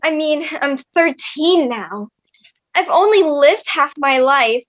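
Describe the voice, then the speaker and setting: A woman's voice: sits high, lively intonation, takes it slowly, highs cut off. A young woman playing a chatty, independent-minded thirteen-year-old girl in a comic stage reading. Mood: wistful, frank.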